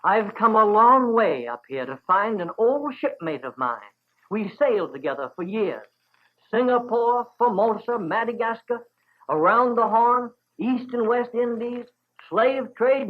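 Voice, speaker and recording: Male storyteller as courteous old seaman, folksy and weary, raised pitch, muffled vintage radio sound. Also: very animated, measured pace, heavily acted. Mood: wistful, weary. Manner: folksy, polite, old seafaring talk. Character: weary, courteous old sailor, about eighty. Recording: dull 1940s radio recording.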